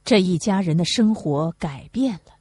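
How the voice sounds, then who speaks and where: A woman's voice: fairly low pitch, expressive, moderate pace, mellow, husky, dry recording. A woman reading a novel aloud as a scripted audiobook narration.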